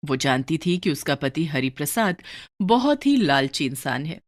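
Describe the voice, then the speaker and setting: A woman narrating a scripted moral story for a cartoon. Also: on the low side.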